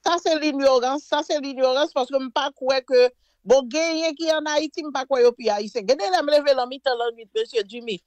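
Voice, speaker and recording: A Haitian woman talks in a high, bright register with fervent, emphatic delivery, pressing her points hard. Her voice sounds close and dry, with no room around it. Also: brisk, no room sound. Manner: fervent, emphatic, mixes French with Creole and English phrases. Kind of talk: impassioned political discussion.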